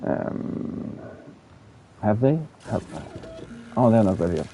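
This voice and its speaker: A man with a Danish accent talks to his livestream viewers in a dark, mellow voice. He stammers and repeats words while searching for English terms, and now and then swears in frustration. A faint hiss sits under the recording. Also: on the low side, animated, slow. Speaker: streamer. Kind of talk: casual livestream chat with viewers.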